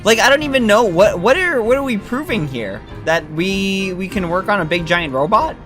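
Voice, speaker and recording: A man's voice: sits high, lively intonation, moderate pace. A man giving casual, rambling gameplay commentary as a streamer, talking informally. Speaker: game streamer. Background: game music.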